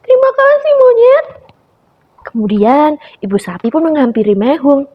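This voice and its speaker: A young woman telling a story to preschool children in an animated way, often turning to her listeners. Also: fairly high pitch, slightly rough. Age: young adult. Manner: animated, calls listeners "friends". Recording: dull lo-fi recording.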